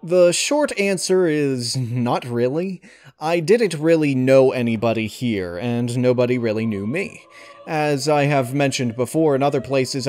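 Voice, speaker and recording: A man answering interview questions in a thoughtful, articulate way, with formal and deliberate wording. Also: mid-range voice, darkish tone, no room sound. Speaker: channel host and audio story reader being interviewed.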